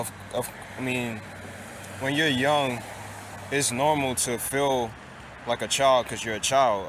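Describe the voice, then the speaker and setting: A man's voice: mid-range voice, fairly bright tone, slight background noise. A man in his late twenties talking casually to his viewers in a rambling way, with many restarts and fillers. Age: 20s. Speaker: vlogger. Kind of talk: casual monologue to camera.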